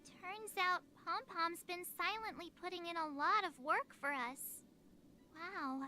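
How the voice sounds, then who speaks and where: Perky girly game character, super high bright voice, excitable and blunt, music behind. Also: unhurried, slight background noise, exaggerated voice. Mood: impressed. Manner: expressive, bubbly, blunt. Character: perky, excitable, girlish young female space traveller. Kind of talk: video game story dialogue.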